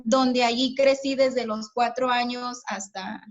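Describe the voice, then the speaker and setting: A woman in her forties, chatting warmly and laughing easily as a guest on a virtual church ladies' meeting. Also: a little high, steady tone, slow. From Mexico. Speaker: invited speaker. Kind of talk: casual virtual interview. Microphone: video call. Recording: dull lo-fi video call audio.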